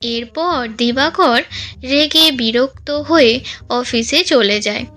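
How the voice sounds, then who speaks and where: A woman narrating a scripted cartoon story for children in a gentle storytelling manner. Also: high-pitched, slow.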